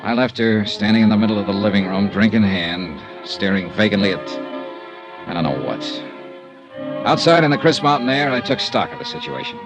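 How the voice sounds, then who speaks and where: Actor as a low-voiced, lively radio detective. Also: male voice, a little fast, music in the background, lightly acted. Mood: brisk narrating. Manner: clipped, probing, dry. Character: tough, wry freelance insurance investigator, adult man. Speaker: lead actor and narrator. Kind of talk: 1950s radio detective drama acting.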